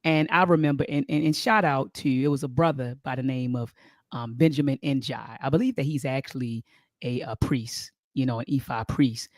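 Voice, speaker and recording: A woman's voice: very deep voice, normal speed, a little mellow, no echo, studio quality. A middle-aged woman with an American accent, telling personal stories conversationally as an interview guest, emphatic, repeating phrases and checking in with listeners. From Baltimore County, Maryland, United States. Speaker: interview guest, spiritual speaker.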